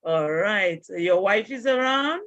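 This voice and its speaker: A woman's voice: mid-range voice, expressive, slow pace, fairly bright tone, no echo. A woman hosts an online interview, taking her time over each phrase. Her voice comes through a muffled video-call connection with no room sound. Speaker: interview host. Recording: dull dry video-call audio.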